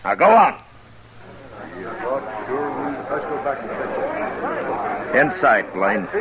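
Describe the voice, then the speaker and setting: An actor playing a tough, terse frontier marshal with a dry, commanding manner in an old radio western. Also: male voice, medium pitch, sing-song, moderate pace, highs cut off, background noise, lightly acted. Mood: stern, commanding. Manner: terse, commanding, dryly sardonic. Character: tough, stern frontier US marshal telling his own story. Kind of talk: 1950s radio western acting and narration. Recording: old radio broadcast with loud music behind.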